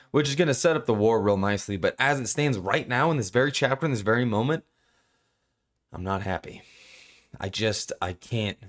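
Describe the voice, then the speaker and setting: A man giving casual, unscripted commentary as a reviewer, rambling and halting as he goes and often repeating himself. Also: lowish voice. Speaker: manga chapter reviewer.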